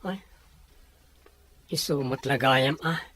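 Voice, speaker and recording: A man with a Filipino accent playing a gentle, concerned young adult son in an audio drama. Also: medium pitch, takes it slowly. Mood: gentle, hopeful. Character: concerned, gentle grown son talking with his father. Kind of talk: Ilocano audio drama acting.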